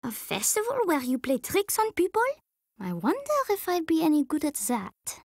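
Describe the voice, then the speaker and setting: High-voiced, curious young girl. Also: wildly swooping pitch, moderate pace, no echo, studio quality, exaggerated voice. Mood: curious, earnest.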